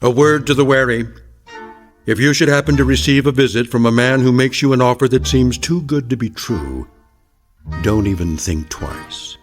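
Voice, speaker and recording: An actor playing an ominous anthology narrator, giving grave warnings in deliberate, foreboding scripted narration. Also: male voice, medium pitch, soft background music, lightly acted.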